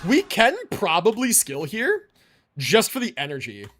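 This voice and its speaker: A man livestreaming a video game with casual commentary, thinking out loud in slangy, sweary talk. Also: high voice, wildly swooping pitch, a little slow, slight background noise. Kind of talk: casual gaming stream commentary.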